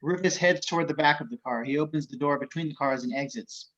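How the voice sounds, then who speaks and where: A man's voice: a little high, fast, fairly bright tone. A man reading out screenplay stage directions as narrator at a table read, on a slightly dull home recording.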